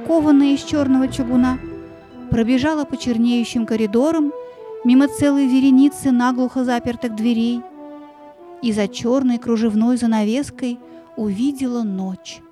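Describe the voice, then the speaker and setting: A woman narrating a fairy-tale audio play in an expressive, theatrical storytelling manner. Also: on the high side, no room sound, studio quality.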